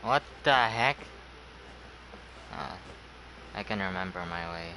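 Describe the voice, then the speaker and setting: Male gamer, casual play commentary, lowish pitch, very unhurried, clear noise behind him. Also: lively intonation.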